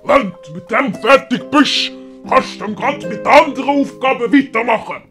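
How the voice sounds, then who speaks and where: A woman's voice: a little high, expressive, moderate pace, fairly bright tone, faint music behind. A woman voicing a grumpy old great-uncle who bosses his young relative around. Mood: bossy. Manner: bossy, barking orders.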